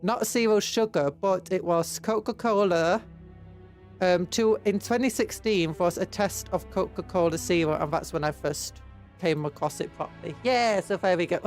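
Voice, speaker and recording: A woman's voice: fairly low pitch, moderate pace, darkish tone, faint music behind. A British woman streamer with a cold, blocked nose and sore throat, rambling in casual chat with her viewers. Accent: British English. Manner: rambling, chatty, reads and answers chat.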